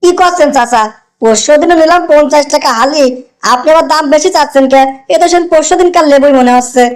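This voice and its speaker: A woman's voice: high-pitched, fast talker, darkish tone, close to an everyday voice. A woman playing a suspicious but gullible market customer in a comic cartoon, querying and haggling. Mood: doubtful.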